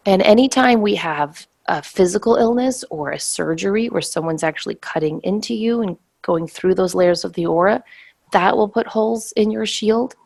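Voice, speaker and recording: A woman's voice: medium pitch, normal speed, creaky. Scratchy-voiced woman hosting a talk show. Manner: reflective, gently explaining. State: hoarse from a cold. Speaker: podcast host. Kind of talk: casual spiritual talk.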